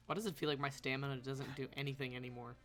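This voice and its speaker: Bright-voiced woman streaming a game. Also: very deep voice, fairly even intonation, racing, faint music behind. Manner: reactive, opinionated, sweary. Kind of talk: casual live gameplay commentary.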